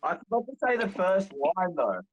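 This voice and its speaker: A man's voice: high voice, very animated, normal speed, dull audio, noisy background. A man on a casual podcast, joking and swearing, who also reads his poetry. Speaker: podcast guest reading own poem.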